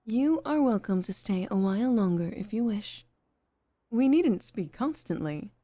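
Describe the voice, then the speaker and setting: A woman playing a composed, formal head maid as a devoted girlfriend in an intimate ASMR roleplay, speaking softly and often near a whisper. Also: medium pitch, expressive, dry recording. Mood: tender, composed. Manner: soft, measured, formal, often dropping toward a whisper.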